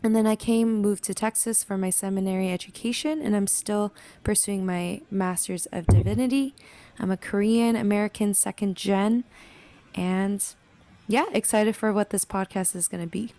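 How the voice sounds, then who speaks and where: A woman's voice: medium pitch, fairly even intonation, moderate pace, warm tone. A woman from the US Pacific Northwest hosting a casual podcast, playful and often laughing. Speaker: podcast host.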